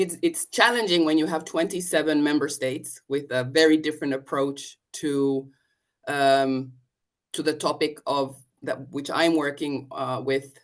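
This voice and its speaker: A woman from Finland, a policy officer answering a panel question on a video call, hesitant, with many fillers and restarts. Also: low-pitched. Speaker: European Commission policy officer.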